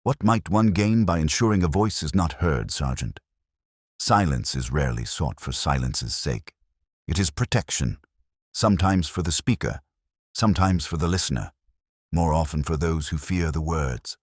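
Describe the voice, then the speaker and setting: A man acts out a shrewd police inspector in a mystery audiobook. The voice is extremely low and husky, with formal, deliberate phrasing and lively rises and falls. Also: no room sound, studio quality. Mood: musing.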